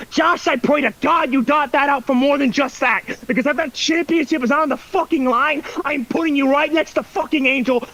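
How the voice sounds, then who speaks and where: Guy with a really high voice, swearing and taunting hard, wrestling promo trash talk. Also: fairly bright tone, highs cut off. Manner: profane, aggressive, taunting. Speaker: online wrestling league competitor.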